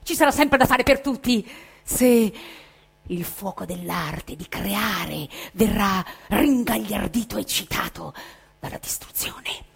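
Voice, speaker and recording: A woman giving a theatrical literary recitation as a stage reader, declamatory, stretching words and pausing. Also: mid-range voice, lively intonation, vocal fry, husky.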